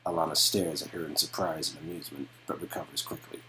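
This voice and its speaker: A man's voice: extremely low pitch, fairly even intonation, fast talker, bright, forceful tone, soft background music, low-quality recording. A man narrating an interactive story game as a playful dramatic read-aloud. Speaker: reader and narrator of a game's dialogue.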